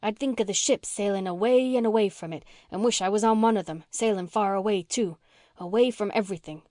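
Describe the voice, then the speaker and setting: A woman voicing a scrappy orphan girl who talks in a rough, blunt country dialect. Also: medium pitch, fairly quick, darkish tone, no room sound, studio quality, lightly acted. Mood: wistful.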